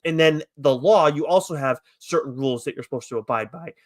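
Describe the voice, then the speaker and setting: Higher-pitched man rambling enthusiastically in dry sound. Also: brisk, dry recording. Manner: rambling, enthusiastic, casually profane. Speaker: podcast co-host reviewing comics. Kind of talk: casual chat review.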